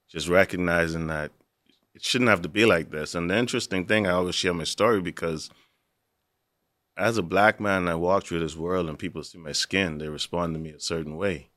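A man's voice: low voice, sharp tone, no echo, studio quality. A middle-aged man from the Bahamas, earnestly telling his personal story as an interview guest. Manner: reflective, earnest, with easy laughs. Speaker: university assistant director, guest.

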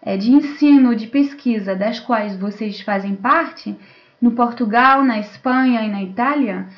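Woman moderator, higher-pitched, muffled online audio. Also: unhurried. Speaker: host and moderator. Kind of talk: panel introduction, semi-formal. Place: remote video call. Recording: dull lo-fi online call audio.

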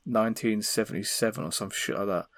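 A young man chatting casually as a podcast co-host, telling stories in a sweary, animated way. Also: fairly low pitch, quick pace, no room sound. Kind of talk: casual chat.

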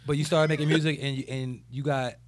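A man's voice: a little high, steady tone, fast, a crowd in the background. A man hosting a casual podcast in a laid-back way, leaning on fillers as he talks. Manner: laid-back, repeats fillers like "you know what I'm saying". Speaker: podcast host, rapper. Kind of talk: casual chat.

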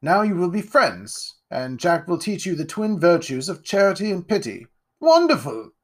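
A man's voice: sits high, very animated, normal speed, pitched above the performer's own voice. A man voicing a pompous, condescending wealthy nobleman with a grand, theatrical manner in a storybook reading. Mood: jovial. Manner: grand, theatrical, plummy delivery.

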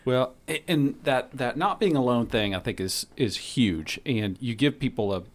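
A man's voice: mid-range voice, normal speed. A man hosting a podcast interview, asking questions in a friendly, curious way with frequent restarts. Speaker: podcast host interviewing guests. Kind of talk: casual interview.

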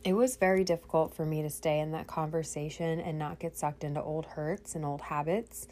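A woman's voice: deep voice, fairly even intonation, a little fast, darkish tone, slight background noise. A woman hosting a podcast, congested from a cold, talking earnestly and directly to her listeners in a personal monologue. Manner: earnest, speaking straight to listeners. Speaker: podcast host.

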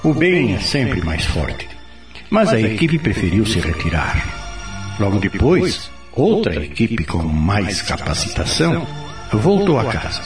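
A Brazilian man playing a patient, authoritative spirit mentor in a radio drama, explaining things in a didactic, expressive way. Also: lowish voice, takes it slowly. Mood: instructive. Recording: roomy, distant, music behind.

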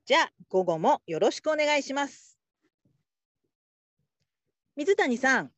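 A woman playing a patient, supportive call-centre supervisor in a radio drama, calm and politely instructive. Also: high-pitched, a little slow, no echo, exaggerated voice. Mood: encouraging. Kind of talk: Japanese radio drama acting.

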